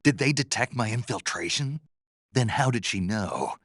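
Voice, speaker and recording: A man playing a gruff, terse American soldier on a covert mission in a video game, with a low, gravelly, husky voice. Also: expressive, a bit bright, dry recording. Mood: troubled, questioning. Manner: terse, deliberate, dry. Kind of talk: dramatic game voice acting.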